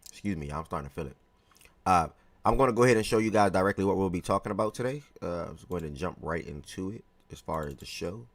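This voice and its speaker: A man hosting a live sports talk stream, chatty and relaxed, greeting viewers by name, his voice a little hoarse. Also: sits low. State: slightly hoarse from yelling the day before. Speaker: live show host.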